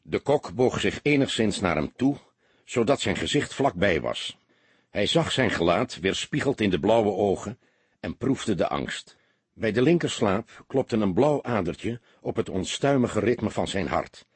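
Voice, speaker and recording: A man's voice: lowish voice, expressive, unhurried, husky, dry recording. A man reading a crime story aloud as an audiobook narrator, in a dramatic scripted style.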